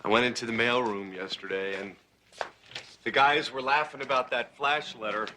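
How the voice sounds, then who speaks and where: A man's voice: medium pitch, steady tone, moderate pace, sharp tone, a little hiss or hum, natural voice, rough recording. An actor playing a flustered young male hero who hesitates and stammers as he dodges questions, from a TV drama clip. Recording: TV drama clip with music underneath.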